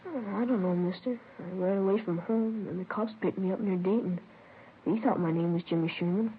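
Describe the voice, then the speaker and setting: A woman's voice: mid-range voice, a little fast, a little hiss or hum, natural voice. Woman playing a meek runaway boy, tinny old radio. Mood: sheepish. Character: runaway Ohio boy, meek, apologetic, pleading. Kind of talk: 1950s radio drama acting.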